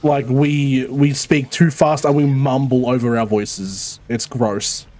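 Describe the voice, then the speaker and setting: A man with an Australian Melbourne accent chatting casually while streaming a co-op game, swearing freely and rambling quickly. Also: medium pitch, fairly even intonation, darkish tone. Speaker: streamer playing co-op. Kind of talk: casual gaming chat.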